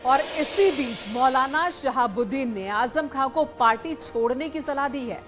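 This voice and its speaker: A woman's voice: high-pitched, animated, a little slow, over music. A woman anchoring a news bulletin with scripted presentation.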